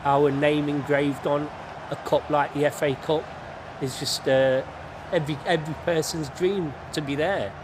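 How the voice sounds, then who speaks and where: Man, football fan, reflective interview, fairly high voice, noisy background. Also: fairly even intonation, moderate pace. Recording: clear background noise.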